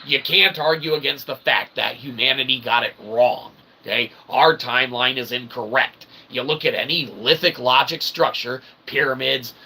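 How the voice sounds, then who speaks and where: A man's voice: medium pitch, steady tone, normal speed, few pauses, pushed and bright, slight background noise. A man delivering an opinionated, rambling solo monologue, emphatic and ranting, often checking in with short tag questions. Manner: emphatic, ranting, peppered with "okay?" and "right?"; casually profane. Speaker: independent author and channel host.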